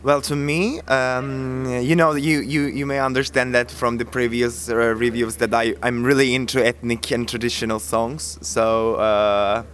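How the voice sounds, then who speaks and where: A man's voice: mid-range voice, flat delivery, unhurried, hardly any pauses, fairly bright tone. A man from Turkey with a Turkish accent, giving a casual review and often searching for words. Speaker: reviewer, co-presenter. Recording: faint background ambience noise.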